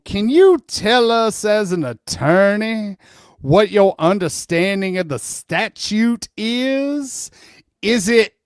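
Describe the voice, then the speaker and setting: A man performs a courteous but dogged examining attorney in a comic deposition reading. His voice sits high for a man and moves at a measured pace. He repeats himself, placates and keeps pressing for answers. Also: smooth, no room sound, clean studio sound. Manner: methodical, repetitive, placating questioning. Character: persistent, polite male lawyer questioning a deposition witness.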